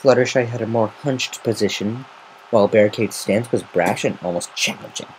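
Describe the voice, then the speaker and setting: Guy reading fan fiction aloud, lowish voice, semi dramatic, faintly noisy home recording. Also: moderate pace. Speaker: amateur fan fiction reader. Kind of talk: semi-dramatic story narration.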